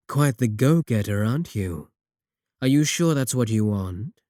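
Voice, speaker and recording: A man playing a courteous, manipulative warlock shopkeeper in a roleplay audio, coaxing and flattering his guest with polite, unhurried talk. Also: lowish voice, no room sound, studio quality. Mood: flirtatious, coaxing. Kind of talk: second-person roleplay audio acting.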